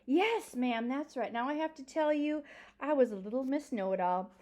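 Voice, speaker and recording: A woman's voice: high voice, expressive, normal speed, darkish tone, pitched above the performer's own voice. A woman voicing an elderly lady librarian in a storybook, proud and dramatic, drawing out key words with folksy emphasis. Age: older.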